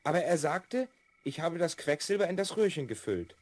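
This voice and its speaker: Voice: medium pitch, lively intonation, normal speed, a little mellow, dry recording, lightly acted. A performer playing an earnest twelve-year-old boy time traveller in a children's radio play. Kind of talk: 1970s German children's radio play acting.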